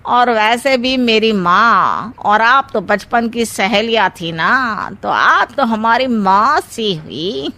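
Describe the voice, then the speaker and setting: A woman's voice: a little high, lively intonation, takes it slowly, pushed and bright, exaggerated voice. A woman voicing a swaggering, falsely chummy village gangster man in a cartoon story, with a boastful, oily manner. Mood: smug, chummy. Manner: boastful, oily, punctuated with chuckles. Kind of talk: cartoon moral-story acting.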